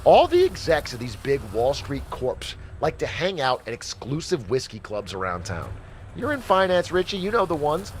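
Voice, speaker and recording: A man's voice: medium pitch, a little hiss or hum. An actor playing a shady, slick finance guru in a video game, with a glib, showy salesman's delivery. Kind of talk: game voice acting, hosted advice show patter.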